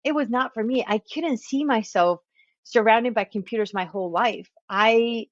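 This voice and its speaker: A woman's voice: fairly high pitch, normal speed, dull audio. A woman in her thirties from Texas and Puerto Rico, hosting a podcast and telling stories about her life in a chatty way, laughing at herself. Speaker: podcast host, realtor. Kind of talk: casual storytelling monologue.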